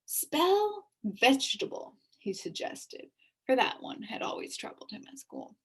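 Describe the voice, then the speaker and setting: A young woman reading a children's novel aloud in an expressive way. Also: medium pitch, slightly rough. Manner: unhurried, expressive. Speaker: reader.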